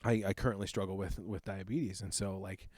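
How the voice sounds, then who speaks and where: A man in his thirties, a youth pastor, shares his personal testimony in an earnest, reflective, halting way. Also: low-pitched, steady tone, darkish tone, dry recording. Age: 30s.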